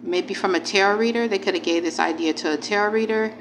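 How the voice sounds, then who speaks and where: A woman's voice: mid-range voice, quick pace. A woman giving a casual spiritual tarot reading, informal and emphatic, repeating phrases and speaking straight to the viewer. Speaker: tarot reader, channel host. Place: indoors at a table. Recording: clearly noisy home recording.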